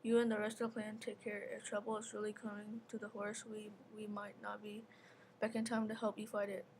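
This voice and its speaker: A woman's voice: medium pitch, completely flat delivery, brisk, a little mellow, natural voice, rough recording. A woman playing an earnest, bossy young warrior cat in readers theater. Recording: faint background noise, live ambience.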